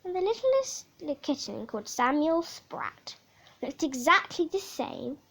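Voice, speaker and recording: A woman reading a children's picture book aloud in a theatrical, measured way, with dramatic emphasis. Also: sits high, big pitch swings, creaky, fairly bright tone. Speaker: story reader.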